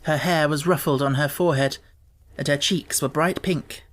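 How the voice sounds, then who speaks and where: A man narrating a children's novel as an audiobook in a measured, clearly phrased way. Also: on the high side, fairly even intonation. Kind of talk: scripted storybook narration.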